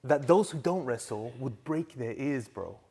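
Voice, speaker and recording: Man, 30s, from Iran, podcast guest, animated storyteller, casual chat. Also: medium pitch, measured pace, gravelly, a crowd in the background. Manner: animated, says "bro" often. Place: martial arts gym.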